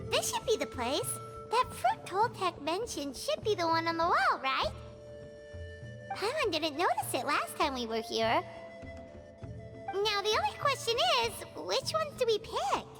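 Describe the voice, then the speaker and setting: A tiny, chirpy fairy girl from an anime-style game, curious and impatient. The squeaky childlike voice is extremely high and swoops wildly, over loud background music. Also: huge pitch swings, a little slow, heavily acted. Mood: pondering. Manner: exclamatory, talks of self in third person. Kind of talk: anime-style video game character acting.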